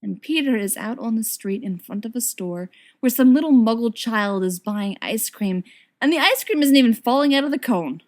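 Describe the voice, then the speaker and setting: A woman reading a story aloud as an expressive scripted narration. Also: on the high side, moderate pace. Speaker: audiobook reader of fan fiction.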